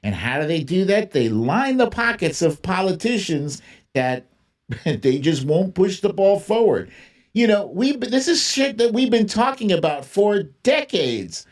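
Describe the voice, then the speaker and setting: Slow-paced man, casual emphatic commentary. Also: sits high, big pitch swings. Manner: informal, emphatic, repeats phrases for emphasis. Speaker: livestream host. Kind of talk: casual opinionated political commentary, reading and answering viewer comments.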